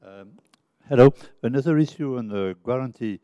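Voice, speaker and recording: A man in a conference audience asking a long question, hesitant with many pauses and restarts. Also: medium pitch, warm tone, clear, resonant tone, dry recording, highs cut off.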